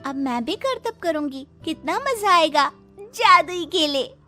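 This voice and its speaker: A woman's voice: extremely high pitch, animated, slow pace, sharp tone, soft background music, exaggerated voice. A woman voicing a stubborn little girl with a whiny, insistent childlike manner in a cartoon. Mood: stubborn, eager. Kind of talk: Hindi cartoon story acting.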